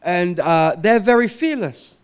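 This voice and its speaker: A man, a native English speaker, preaching in short phrases with pauses for an interpreter and repeating them for emphasis. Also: high voice, animated, clear, resonant tone. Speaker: visiting preacher.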